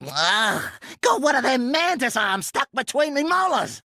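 Video game: giddy, scatterbrained Australian junkyard bomber, shrill and cackling, wildly swooping pitch, dry studio sound. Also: male voice, sing-song, a little slow, bright, forceful tone, no echo, exaggerated voice. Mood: manic glee. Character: manic, cackling young male junkyard demolitions maniac. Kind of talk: video game character voice lines.

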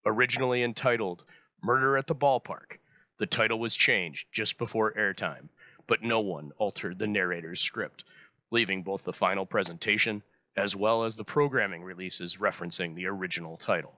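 A male host reads a formal scripted introduction. His voice is deep and bright, captured dry and close. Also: moderate pace, no echo. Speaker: host introducing old radio episodes. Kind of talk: scripted narration.

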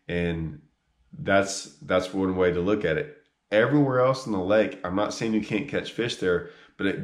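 A man, giving earnest, casual fishing advice straight to a camera, with plenty of ums and restarts. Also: deep voice. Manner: earnest, repeats himself, many ums and restarts, gestures with hands. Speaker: fishing guide giving a tournament report. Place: small room indoors. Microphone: camera on a tripod a few feet away. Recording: echoey room.

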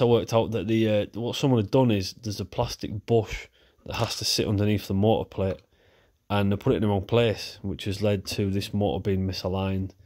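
A man with a stuffy, nasal voice from a cold, chatting casually as he walks through a repair. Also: lowish voice. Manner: chatty, relaxed, talks while working with hands. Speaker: repair video host.

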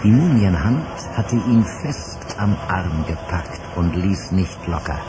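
Deep male narrator for an adventure radio play, measured. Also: expressive, very noisy. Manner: measured storytelling. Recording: loud music behind.

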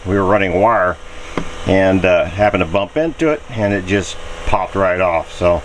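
Man, 50s, very deep voice, casual vlog tour, handheld camera mic, slight background noise. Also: normal speed, poor audio. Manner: chatty, pointing things out as he goes. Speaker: sailing vlogger. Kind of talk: casual show-and-tell vlog. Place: aboard a sailboat, cabin and deck.

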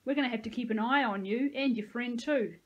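A woman's voice: brisk, lightly acted. A woman voicing a paranoid, aggressive cadet leader in his twenties, a mockingly cheerful bully, in an audiobook. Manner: booming, taunting, bossy. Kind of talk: audiobook character dialogue.

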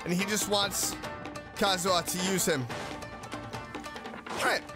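A man's voice: sits high, steady tone, measured pace. A man giving casual let's play commentary with enthusiastic, exclaiming reactions. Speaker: gamer, let's play host. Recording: game music behind.

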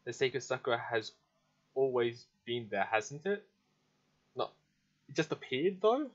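A man's voice: medium pitch, sing-song, a little slow. Playthrough host: male, mid-range casual delivery, reading game lines aloud, slightly muffled recording. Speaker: gamer playing and reacting.

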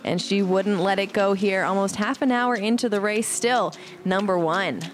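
A woman giving recap commentary as a voice-over on a cross-country ski race, in a brisk play-by-play style. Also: medium pitch, fairly even intonation. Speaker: sports commentator.

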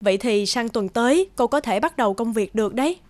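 A woman voicing a blunt, chatty office worker in an animated series. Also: fairly high pitch, fairly quick, natural voice. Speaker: office coworker and manager. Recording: background music.

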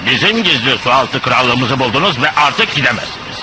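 Haughty undersea monarch male actor: medium pitch, sweeping intonation, commanding cartoon menace, clear background noise. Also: expressive, moderate pace, close to an everyday voice. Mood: menacing. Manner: pompous, disdainful, commanding. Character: pompous, menacing male ruler of underwater kingdom. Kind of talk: animated cartoon dub. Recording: clearly noisy cartoon soundtrack.

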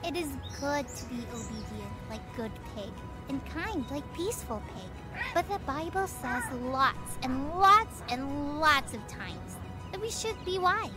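A childlike voice telling a Bible lesson to young listeners in simple, expressive scripted speech, as the host of a children's show. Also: sits high. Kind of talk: animated scripted storytelling and teaching for children.

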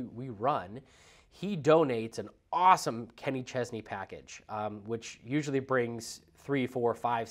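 Guy in his 30s hosting a podcast, kinda congested, lots of ums, lapel mic. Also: mid-range voice. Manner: chatty, filler-heavy, earnest. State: congested from a cold. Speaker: podcast host. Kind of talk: casual interview chat. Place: small studio lounge set.

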